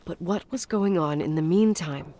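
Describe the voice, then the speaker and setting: A woman's voice: lowish voice, moderate pace, warm tone, lightly acted. An actress playing an anxious young woman in a naturalistic video game drama, speaking expressively. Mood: reflective, subdued.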